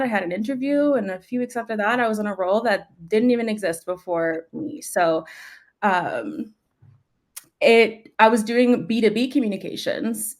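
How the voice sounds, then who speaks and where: Young woman, New York native, panel guest; casual storyteller, mid-pitched. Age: young adult. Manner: warm, chatty storytelling with frequent "like" and "um". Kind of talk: conversational panel talk.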